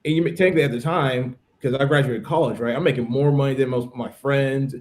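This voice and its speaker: A man's voice: mid-range voice, steady tone, fast talker. An American man in his thirties talking casually in a conversational interview, explaining things in a chatty way. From United States. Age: 30s. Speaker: podcast participant, English teacher.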